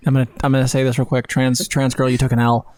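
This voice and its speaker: A woman's voice: a bass voice, little pitch movement, fast talker, darkish tone. A young adult woman co-hosting a livestream in a sardonic, blunt way, with casual mocking commentary. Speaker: co-host of a livestream.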